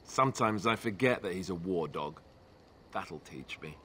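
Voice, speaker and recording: Low-voiced earnest young warrior, game ambience. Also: male voice, lively intonation, normal speed, bright, forceful tone, a little hiss or hum. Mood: startled, indignant. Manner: hesitant, apologetic, sincere. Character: young male warrior, earnest, awkward, grieving companion. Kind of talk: fantasy video game dialogue acting.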